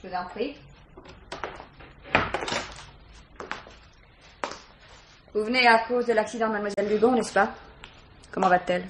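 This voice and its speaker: An actress playing a guarded, disdainful young student, curt and defensive, in a 1950s French radio mystery. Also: female voice, medium pitch, fast, noisy background, close to an everyday voice. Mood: guarded politeness.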